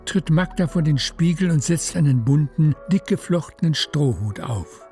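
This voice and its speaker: A woman's voice: low-pitched, animated, moderate pace, mellow, soft background music. A woman narrating a radio play in scripted literary prose.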